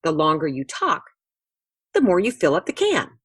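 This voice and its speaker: Woman reading as an expressive young girl, Kayla. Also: on the low side, normal speed, breathy edge, no room sound, clean studio sound, exaggerated voice. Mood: eager, explaining. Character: Kayla, young girl, bright organiser of games.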